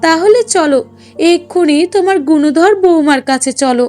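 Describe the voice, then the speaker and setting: A woman's voice: very high-pitched, normal speed, a little mellow, faint music behind. A woman voicing a spoiled, jealous young daughter in a cartoon, with a whiny, accusing, dramatic manner. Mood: whiny complaining. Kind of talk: Bengali cartoon voice acting.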